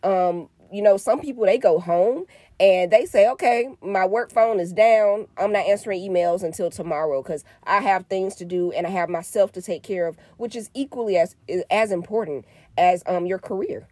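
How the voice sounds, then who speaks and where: A woman's voice: mid-range voice, normal speed, no room sound. A woman co-hosting a casual podcast, speaking emphatically and affirmingly. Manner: warm-hearted, emphatic, affirming. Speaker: podcast co-host.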